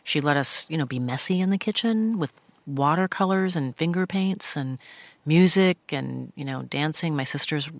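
A woman journalist with a low voice, reminiscing in a radio studio interview. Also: moderate pace, dry recording. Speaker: journalist and podcast host, as guest. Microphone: studio microphone.

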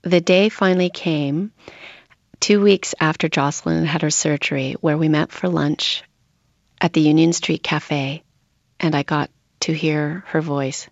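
A woman giving a thoughtful, reflective account of someone in a measured way. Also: low voice, steady tone, creaky, no room sound. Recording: dull, muffled sound with faint music.

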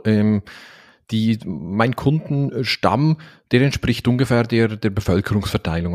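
A man's voice: fairly low pitch, a little mellow, no echo. Swiss man, dry close sound. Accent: Swiss Standard German. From Switzerland, Valais. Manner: thoughtful, frequent "äh" fillers, occasional laugh. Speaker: seminar trainer and speaker. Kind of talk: podcast interview.

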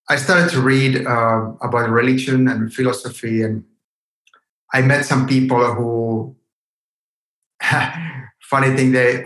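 Slow-talking Finnish man in a roomy space. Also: medium pitch, fairly bright tone. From Finland. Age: 50s. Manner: hesitant, searching for words. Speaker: podcast guest, yoga teacher. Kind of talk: unscripted interview conversation. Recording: roomy echo on the voice.

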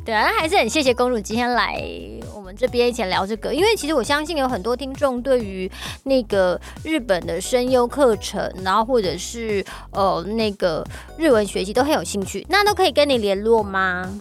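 A woman's voice: fairly high pitch, expressive, fairly quick. Playful Taiwanese woman podcast host. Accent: Taiwanese Mandarin. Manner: playful, teasing. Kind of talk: casual chat. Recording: music playing underneath.

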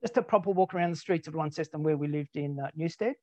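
A man's voice: a little high, fast talker, warm tone, no echo. Older man, Australian accent, rambling, self-deprecating, dull dry recording. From Tasmania, Australia. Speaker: interview guest. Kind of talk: casual conversational storytelling.

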